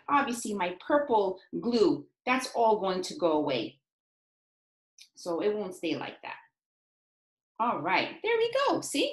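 A woman in her forties teaching young children in an expressive, encouraging, sing-song way, as in a read-aloud and craft lesson. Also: a little high. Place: small indoor home classroom. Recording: roomy, echoey home room sound.

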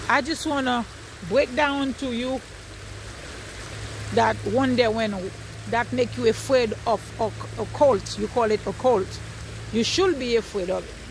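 A woman's voice: a little high, a little hiss or hum. A woman from Haiti with a Haitian accent giving an earnest spiritual talk outdoors by a river at night, repeating her phrases as she preaches.

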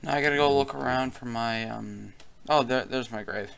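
A man's voice: medium pitch, normal speed, vocal fry, a bit bright, clean tone. A young man chatting casually while playing a game, often pleading with the monsters on screen. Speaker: gaming channel co-host. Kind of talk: casual gameplay commentary.

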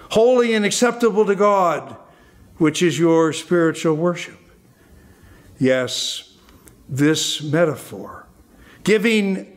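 Older American male pastor for a sermon, fairly high-pitched, very unhurried, weighing and stressing each phrase. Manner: deliberate, emphatic phrasing with pauses. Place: indoors at a church lectern.

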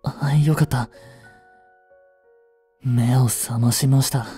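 Guy voicing a protective young butler, slow husky voice, soft music behind. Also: medium pitch, steady tone. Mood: tender. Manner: soft, gentle and intimate in the bedside scene; shouting and frantic while searching the fire. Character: devoted young male butler, protective and tender. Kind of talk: romantic voice drama acting.

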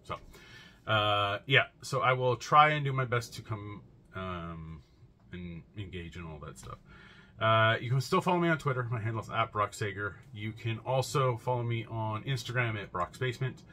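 Man, 30s, home vlogger; bright, forceful tone sitting a bit low, loose and rambly with ums. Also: lively intonation, moderate pace. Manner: chatty and rambling, many fillers and restarts. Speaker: comic shop vlogger. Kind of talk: casual to-camera vlog. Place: home room lined with shelves.

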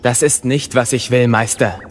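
A man's voice: medium pitch, fairly even intonation, normal speed, big-room sound, faint music behind, lightly acted. An actor playing an impatient, curious young male apprentice warrior in an audio drama, brusque and insistent.

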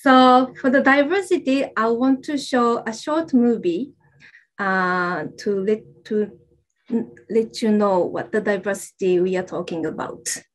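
A young woman with a Japanese accent, an admissions officer giving a friendly, halting presentation with fillers over a video call. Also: mid-range voice, rough recording. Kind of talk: online information session presentation. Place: indoors, virtual campus backdrop.

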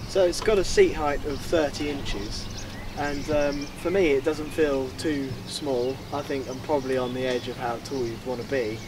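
A man's voice: mid-range voice, fairly even intonation, normal speed, hardly any pauses. A young man co-hosting a motorcycle review, talking enthusiastically and plainly outdoors in a churchyard. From England. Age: young adult. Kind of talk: casual on-camera review. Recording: outdoor recording with loud background noise.

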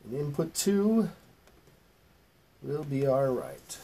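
A man's voice: a little high, sing-song, takes it slowly, creaky, warm tone, husky, low-quality recording. A middle-aged man talking through an informal, step-by-step recording tutorial in a plain-spoken, folksy way. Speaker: host of music recording tutorial. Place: small home studio with acoustic foam.

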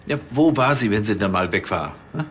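A man's voice: lowish voice, expressive, fairly quick. An actor playing a pompous, suspicious policeman in a TV crime comedy, insistent and opinionated. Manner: opinionated, self-assured, insistent. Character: pompous, suspicious, overeager male police officer. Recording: loud ambient and car noise.

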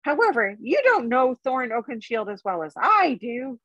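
Lady doing a squeaky little hobbit guy from a storybook, fussy n polite, voice swoops around way up high. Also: big pitch swings, moderate pace, fairly bright tone, no echo, highs cut off. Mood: earnest, persuasive. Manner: fussy, chatty, hedging politeness. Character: small, fussy, polite male hobbit, nervous but plucky.

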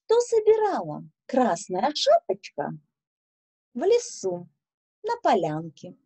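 A woman in her thirties telling a story to children in a deliberate, expressive, instructive way. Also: high voice, slightly rough. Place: small indoor room with bookshelves.

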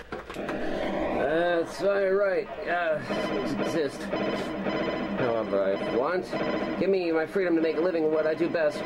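Man acting defiant struggling artist-pilot, agitated rambling rant, amateur space radio play, loud noise throughout. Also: on the high side, close to an everyday voice. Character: embittered male spaceship commander and struggling artist, ranting defiantly. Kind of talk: amateur science-fiction audio drama monologue. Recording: very noisy home-made tape recording.

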